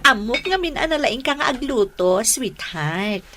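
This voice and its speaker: Spoiled sweetheart, Ilocano accent, high piercing voice, pouty and sugary, radio drama. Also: female voice, lively intonation, a little slow, bright, forceful tone. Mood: sweetly demanding. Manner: coaxing, pouty, sugary endearments. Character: spoiled, vain young girlfriend who sweetly wheedles and demands.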